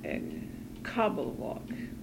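Slow, halting elderly woman. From northern Norway. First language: Norwegian. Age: 90s. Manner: halting, hesitant, self-correcting. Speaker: interviewee. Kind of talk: oral history interview. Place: apartment. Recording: hissy 1980s cassette tape.

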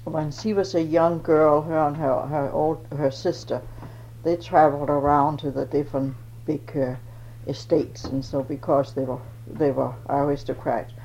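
A woman's voice: sits low, steady tone, moderate pace, mellow. An older woman from Denmark, recalling her family in an oral history interview and searching for words as she goes. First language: Danish. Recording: dull old tape with faint noise.

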